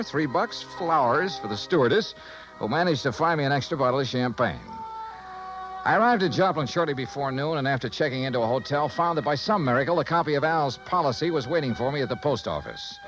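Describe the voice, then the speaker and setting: An actor playing a cocksure American insurance investigator in 1950s radio style, wisecracking and narrating in brisk, clipped phrases. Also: male voice, medium pitch, close to an everyday voice, poor audio. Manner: brisk, wisecracking, clipped expense-report delivery. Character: wry, cocksure male freelance insurance investigator. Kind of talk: 1950s radio detective acting and first-person narration. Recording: vintage radio broadcast with music.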